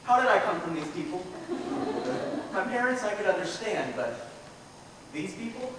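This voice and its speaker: A man's voice: high voice, very animated, normal speed. A man acting the part of an exasperated young grandson in an amateur stage play, with projected, emphatic delivery. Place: theater stage, distant recording. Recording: echoey noisy hall, recorded from far off.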